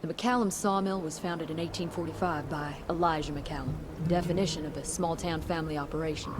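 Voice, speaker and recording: A woman's voice: low voice, steady tone, brisk, background noise, close to an everyday voice. An actress playing a brusque woman doctor and government scientist in a video game, speaking formally and precisely. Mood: clinical, dry. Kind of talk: scripted video game cutscene acting. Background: background music.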